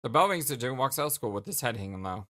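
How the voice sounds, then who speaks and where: A man's voice: mid-range voice, animated, rapid-fire, pushed and bright. A man reading visual novel narration as a let's play host, with casual commentary and the odd stumble.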